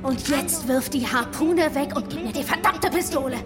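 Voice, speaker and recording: A woman's voice: high-pitched, normal speed, natural voice. An actress playing a bold, ruthless woman survivor in a German radio drama, teasing in a mock-sweet, sardonic way. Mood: cruel, taunting. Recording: studio radio drama with clear music bed.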